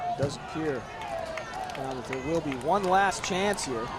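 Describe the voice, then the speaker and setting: High-voiced guy calling soccer live, hoarse, crowd roaring outside behind him. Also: unhurried. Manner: excitable, voice jumps up on big chances. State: losing his voice. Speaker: play-by-play commentator. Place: outdoor soccer field. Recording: loud crowd and outdoor noise. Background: cheering crowd.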